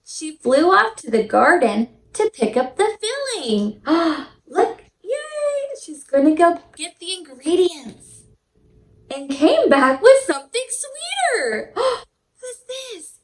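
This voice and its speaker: A woman's voice: very high voice, very animated, slow pace, roomy sound. A woman teacher hosting a read-aloud storytime for young children, speaking in a sing-song, exaggeratedly enthusiastic way straight to the kids. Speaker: children's teacher and show host.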